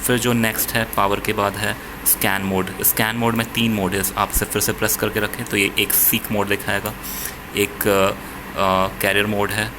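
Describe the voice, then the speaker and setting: An Indian-accented man explains a gadget in a low voice with very little rise and fall. He walks through it methodically, restating points as he demonstrates. There is clear background noise behind him. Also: little pitch movement, normal speed. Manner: switches between Hindi and English, repeating points. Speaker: product reviewer.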